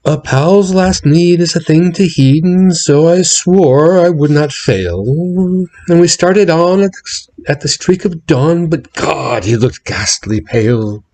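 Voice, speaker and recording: A man's voice: on the high side, lively intonation, slow pace, a little mellow. A man giving a theatrical dramatic reading of a narrative poem, swelling and dropping in intensity as he tells it. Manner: theatrical storytelling with big swells and drops in intensity. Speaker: reader narrating a ballad.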